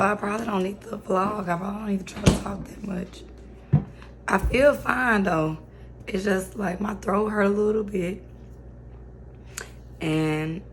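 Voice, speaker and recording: Hoarse young woman vlogging, gravelly worn voice, slow and quiet, lots of ums. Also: mid-range voice, noisy background. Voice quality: raspy, worn-out voice. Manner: slow, subdued talk with many fillers. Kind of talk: casual vlog chat.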